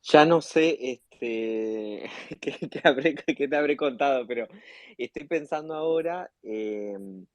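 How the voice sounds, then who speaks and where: An Argentine man giving personal testimony in a discussion, haltingly, often repeating words as he searches for the next. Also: medium pitch, dry recording. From Salta, northern Argentina. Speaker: panel guest, actor.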